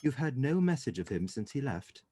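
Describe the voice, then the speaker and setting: A man playing a composed, courteous English gentleman detective in a radio play, speaking in a measured, formal way. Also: mid-range voice, sing-song, husky. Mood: courteous, attentive.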